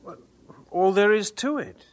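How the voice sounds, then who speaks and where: An actor playing an earnest, idealistic young missionary in an audio drama, eager, pious and a little naive. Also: male voice, sits high, lively intonation, measured pace. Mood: defensive, earnest. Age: young adult.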